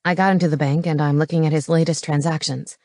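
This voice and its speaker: A woman acting out a gruff, intelligent man from an audiobook, pitched low. He is terse and intense, laying out facts plainly. The sound is close and dry. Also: steady tone, quick pace, a little mellow, no room sound. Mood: focused. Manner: terse, matter-of-fact. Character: gruff, brainy comic-book-fan man, terse and intense. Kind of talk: audiobook character dialogue.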